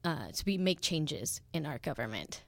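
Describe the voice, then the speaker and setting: A woman's voice: low-pitched, sing-song, moderate pace, creaky, no room sound. A young woman from Peru, a student guest, speaking earnestly with some fillers. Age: young adult.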